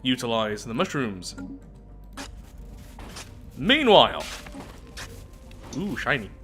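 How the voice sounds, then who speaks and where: Casting: male game streamer, bright forceful delivery, chatty and self-deprecating, reads lore aloud, music underneath. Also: medium pitch, lively intonation, normal speed. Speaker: host of a game playthrough series. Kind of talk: gaming commentary mixed with reading story text aloud.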